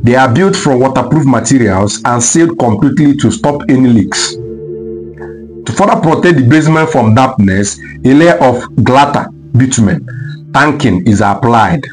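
Man, mid-pitched voice, documentary narrator, steady explanatory read, soft music underneath. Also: normal speed, poor audio. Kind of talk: scripted documentary voice-over. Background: faint background music.

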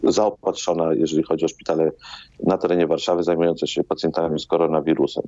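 A man's voice: a bass voice, little pitch movement, quick pace, warm tone. A man, a doctor answering interview questions hesitantly, repeating words as he explains, over a video call. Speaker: doctor, hospital chief interviewed as guest. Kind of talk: live radio interview answers. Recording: dull, roomy video-call sound.

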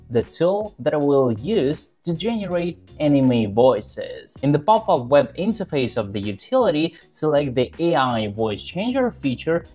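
Upbeat guy in his 20s doing a tutorial, really animated intonation, bit of music under it. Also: mid-range voice. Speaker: tutorial presenter. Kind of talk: scripted tutorial narration to camera.

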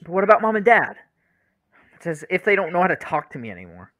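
A man's voice: sits high, sing-song, fast, a bit bright. A man playing a withdrawn, dejected young brother in a radio play, hesitant and defensive. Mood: defensive, hurt.

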